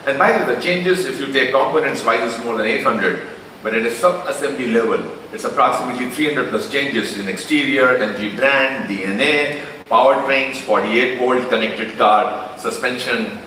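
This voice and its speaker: Bright-voiced Indian man in an echoey hall. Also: mid-range voice, normal speed, slight background noise. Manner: conversational, with frequent restarts and fillers. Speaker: company executive presenting a car launch. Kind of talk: unscripted presentation speech. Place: large launch event hall. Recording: echoey hall from a distant mic.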